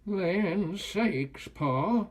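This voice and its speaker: A man's voice: high-pitched, lively intonation, extremely slow, pitched above the performer's own voice, full character voice. A man voicing a farmer's plainspoken, homely country wife in a children's storybook, with a folksy, wondering manner.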